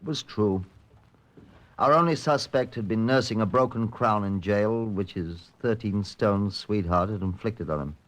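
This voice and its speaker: A low-voiced chief inspector, played by a man, from an old radio police drama. He speaks in a clipped, matter-of-fact way, sober and curt. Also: unhurried, lightly acted. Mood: sober, narrating. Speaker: actor and narrator in a radio crime drama. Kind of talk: old-time radio police procedural acting and narration.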